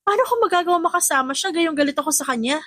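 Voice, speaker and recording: A woman's voice: sits high, racing, a bit bright, no room sound, clean studio sound, voice pushed up. A woman voicing a distressed, stubborn young pregnant woman in an audiobook, emphatic and agitated, mixing English with Tagalog. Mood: frustrated, agitated. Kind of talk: audiobook character acting.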